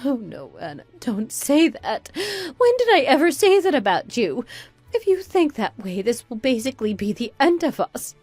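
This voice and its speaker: A woman's voice: high voice, very animated, moderate pace, darkish tone, dry recording, soft background music. Woman acting a swooping, pleading older mother-in-law. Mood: pleading, indignant. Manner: wheedling, guilt-tripping. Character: pleading, manipulative older mother-in-law, self-pitying and indignant. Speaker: voice actor in an online radio drama. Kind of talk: melodramatic radio drama dialogue.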